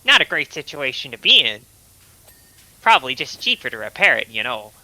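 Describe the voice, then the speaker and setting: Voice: medium pitch, sing-song, fairly quick, sharp tone, poor audio. A co-host on a casual gaming stream, trading dry, teasing banter.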